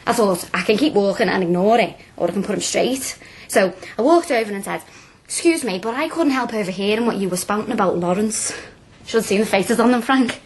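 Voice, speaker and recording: An actress playing a cheeky, eager young working-class student with a Liverpool accent, chatty and blunt, in a radio drama. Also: female voice, mid-range voice, a little fast, slightly rough, poor audio. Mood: animated, chatty.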